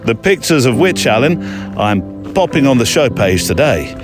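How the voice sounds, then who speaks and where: A man's voice: medium pitch, very animated, moderate pace, music in the background. A man hosting a podcast in a reflective, chatty way, breaking into his own sentences as he talks or reads aloud. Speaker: podcast host. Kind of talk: conversational hosting and reading listener letters aloud.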